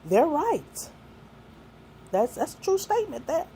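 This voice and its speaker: A woman's voice: high voice, sing-song, unhurried, mellow, slight background noise. Earnest older woman giving folksy advice. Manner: earnest and folksy, mixing faith and street talk. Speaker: speaker giving life advice. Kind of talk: heartfelt talk to young people.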